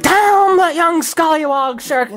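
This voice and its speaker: A man's voice: extremely high pitch, slow, pitched above the performer's own voice. A man voicing a scheming, rich male duck with a Scottish accent who rants. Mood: ranting. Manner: ranting, fussy. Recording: music playing behind the voice.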